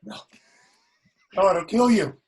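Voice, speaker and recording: A man's voice: high voice, takes it slowly. A man playing a timid, anxious actor in a table read, nervous and wavering in his delivery. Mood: frightened. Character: timid, anxious, starstruck male actor at ship controls.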